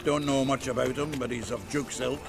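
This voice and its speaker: An actor playing a gruff, jovial, foul-mouthed dwarf in a video game, talking bluntly and heartily. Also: male voice, medium pitch, steady tone, fairly quick, close to an everyday voice. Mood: jovial banter. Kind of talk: video game character acting.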